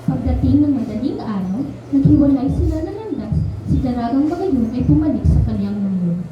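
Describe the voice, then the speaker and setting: Female radio drama narrator, high voice, scripted storytelling, far from mic with clear noise. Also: lively intonation, fairly quick, dull audio. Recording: very echoey room, distant, clear noise.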